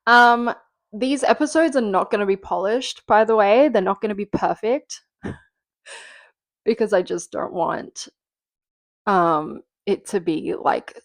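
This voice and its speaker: A woman's voice: mid-range voice, creaky, no room sound. A woman in her twenties hosting a casual solo podcast, chatty and rambling with lots of fillers, a bit nasal from being sick, recorded at home. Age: 20s. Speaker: podcast host.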